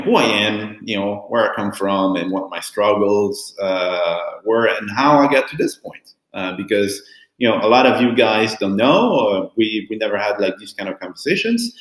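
A man's voice: fairly low pitch, a bit bright. A man whose native languages are French and Italian, speaking English and telling his life story in a candid, rambling way with many restarts and fillers. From born in Cameroon, raised in Italy and France. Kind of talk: casual personal storytelling. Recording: roomy echo.

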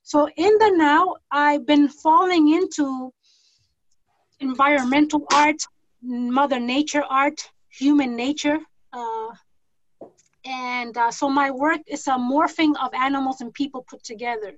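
High-voiced woman in her forties. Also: steady tone, dry recording. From New York City. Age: 40s. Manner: thoughtful, rambling with pauses and restarts. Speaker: guest artist. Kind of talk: casual self-introduction. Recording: dull lo-fi sound.

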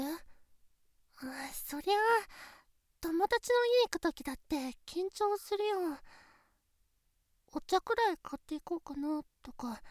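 A woman voicing a nervous, earnest teenage girlfriend in a situation voice drama, fretting in soft close-up talk with hushed asides. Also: extremely high pitch, dry recording. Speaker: voice actress.

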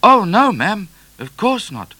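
A man's voice: medium pitch, very animated, normal speed, dry recording, pitched above the performer's own voice. A man voicing an eager, polite young male adventurer of twenty in a storybook, breathless and earnest. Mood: flustered, eager.

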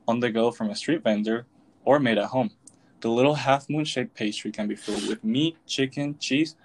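A young man from Venezuela co-hosting a podcast, reading a prepared script in a steady way. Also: mid-range voice. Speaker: podcast co-host.

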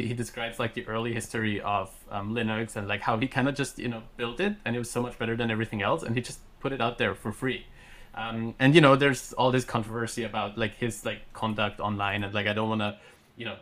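A man in his thirties, raised in Austria with German as his native tongue, talks as an interview guest. His speech is excited and loose, full of fillers and quick self-corrections, at an ordinary middle pitch. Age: 30s. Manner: excited, many fillers and restarts. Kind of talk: casual podcast interview.

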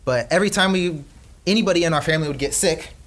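A man's voice: on the high side, a bit bright. A young man from Peru, a company co-founder, chatting as an interview guest and telling family stories with lots of fillers. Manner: chatty storyteller, quick bursts with frequent fillers. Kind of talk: casual interview chat.

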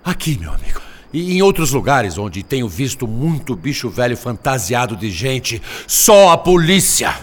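A man's voice: medium pitch, sing-song, moderate pace. An actor playing a blunt, exasperated, skeptical man in a radio drama, sardonic and scornful. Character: skeptical, exasperated male spirit companion of the narrator.

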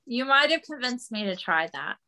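A woman's voice: on the high side, big pitch swings, moderate pace. A woman chatting casually as a panelist on a podcast. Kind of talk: casual chat.

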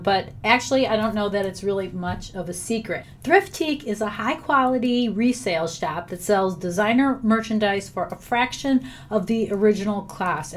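A woman hosting a podcast, slightly hoarse from laryngitis, reading an upbeat intro and then asking her guest questions. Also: on the high side. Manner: upbeat, enthusiastic. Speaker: podcast host.

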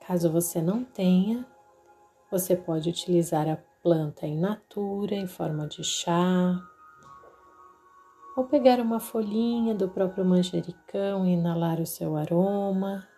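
A woman with a Brazilian accent narrating a guided meditation in a soothing, deliberate way, leaving long pauses. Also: on the low side, mellow. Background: background music.

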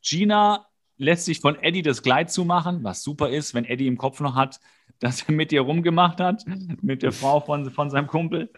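A man co-hosting a podcast, casually and comically retelling an episode in an animated way with exasperated, slangy outbursts. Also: fairly high pitch, moderate pace. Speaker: podcast co-host. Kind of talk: casual comedic episode recap.

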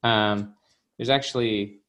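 A man's voice: on the low side, steady tone, takes it slowly, a bit bright. A man appearing as an author guest, explaining ideas in casual conversation, often checking in with "right?". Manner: explanatory, uses fillers like "you know" and "right?".

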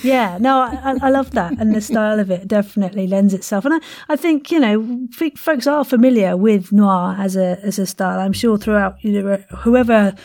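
A woman with a warm, mellow, mid-pitched voice hosts a casual interview. She is effusive and encouraging, with playful slang and loose phrasing. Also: brisk, few pauses. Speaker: podcast host, interviewer.

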